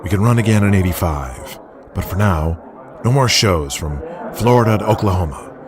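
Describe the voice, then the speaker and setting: An actor playing a hard-nosed, commanding wrestling promotion boss in an audio drama. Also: male voice, low voice, animated, moderate pace, a crowd in the background. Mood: firm, businesslike. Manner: blunt, commanding.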